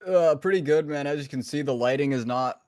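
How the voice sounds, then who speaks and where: A man's voice: a little high, fairly quick, darkish tone, no echo, clean studio sound. A young man co-hosting a casual gaming podcast, playful and teasing, with casual slang. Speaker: podcast co-host.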